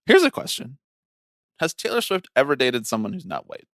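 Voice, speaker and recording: A man's voice: medium pitch, huge pitch swings, moderate pace, a bit bright, dry recording, clean studio sound. A man co-hosting a podcast in casual banter, with a teasing, opinionated way of talking. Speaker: podcast co-host.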